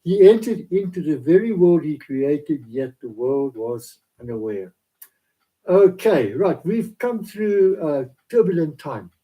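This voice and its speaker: A South African man, Afrikaans his first language, giving a rambling, halting devotional talk into a laptop camera in his small home office. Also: a little high, sing-song, narrow, tinny audio. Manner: rambling, halting with many fillers.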